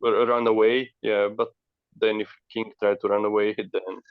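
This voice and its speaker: Male learner, lowish voice, tentative casual delivery, slightly muffled recording. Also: little pitch movement, smooth, no echo. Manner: tentative, halting. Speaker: learner trying puzzles. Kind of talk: casual thinking aloud.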